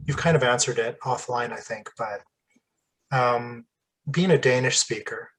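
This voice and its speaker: Chatty, husky host man. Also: medium pitch, measured pace, a bit bright, low-quality recording. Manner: chatty, rambling, with asides and throat clearing. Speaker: podcast host. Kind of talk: casual interview chat.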